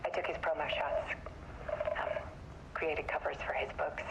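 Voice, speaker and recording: An actress playing a haunted, weary photographer who gives a subdued, halting, somber confession in a dramatic video game monologue. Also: female voice, low voice, steady tone. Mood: wistful. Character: haunted woman photographer recounting her troubled relationship, weary and bitter. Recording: dull lo-fi sound with clear noise.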